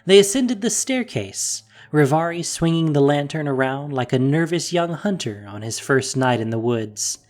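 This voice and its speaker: A man reading scripted fantasy story narration with expressive delivery. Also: fairly high pitch, moderate pace, hardly any pauses.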